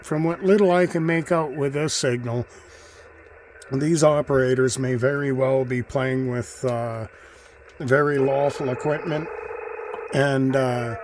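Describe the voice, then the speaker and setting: A man's voice: mid-range voice, fairly even intonation, unhurried, darkish tone. Casual man musing over faint radio noise. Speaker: radio hobbyist narrating a video. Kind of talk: casual off-the-cuff commentary. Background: shortwave radio noise.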